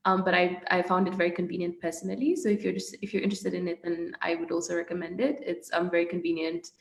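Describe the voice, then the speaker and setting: A young woman, a native Swahili speaker, answering student questions in a friendly, thoughtful way with many pauses. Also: on the low side, flat delivery, vocal fry. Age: young adult. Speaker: student panelist. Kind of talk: casual Q&A answers. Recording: echoey room.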